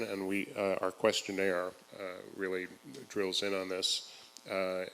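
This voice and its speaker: A man's voice: low-pitched, a bit bright, slight background noise. A man addressing a public forum as its host, speaking in a large echoing hall. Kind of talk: public forum address, partly read from a letter.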